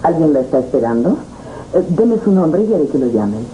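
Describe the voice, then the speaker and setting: An actress playing a composed, formal, coolly polite middle-aged manageress in a 1970s telenovela. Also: female voice, low-pitched, sing-song, mellow. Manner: formal, measured. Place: indoor studio set. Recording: muffled, noisy old TV tape.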